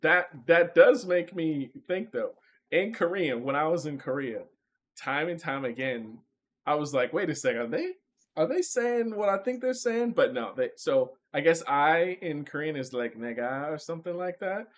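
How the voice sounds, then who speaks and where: A man co-hosting a relaxed movie talk show in a slightly high voice. He keeps the mood light, jokes freely and laughs easily. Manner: loose and joking, quick to laugh. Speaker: podcast co-host. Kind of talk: casual film review chat.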